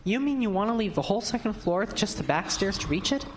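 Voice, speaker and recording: A woman's voice: medium pitch, expressive, quick pace, slight background noise, close to an everyday voice. A woman playing a stubborn construction foreman in an audio drama, talking in a blunt, folksy, colloquial way. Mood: incredulous. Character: plain-spoken, stubborn construction foreman named Jeff.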